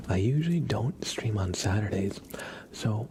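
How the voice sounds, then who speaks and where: Slow, hushed man streaming ASMR. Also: lowish voice, lively intonation, noisy background. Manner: soft-spoken, often dropping into whispers. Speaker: ASMR livestreamer, host. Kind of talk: live ASMR stream, casual chat with viewers.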